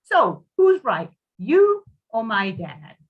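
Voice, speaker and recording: A woman's voice: on the high side, huge pitch swings, takes it slowly, narrow, tinny audio, heavily acted. A woman voicing a scornful, insistent man in a dramatic story reading. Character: indignant man demanding his father's hometown be found.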